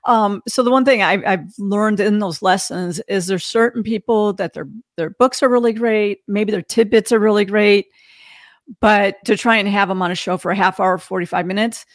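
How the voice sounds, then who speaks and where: A woman's voice: mid-range voice, moderate pace, dry recording, clean studio sound. A middle-aged woman, a podcast host, talking earnestly in a casual interview, often restarting her sentences. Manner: repetitive restarts, earnest reflective talk.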